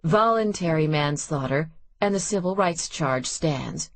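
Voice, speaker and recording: A woman voicing a calm, impassive female prosecutor who speaks matter-of-factly and firmly. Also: low voice, a little slow, no room sound. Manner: matter-of-fact, firm.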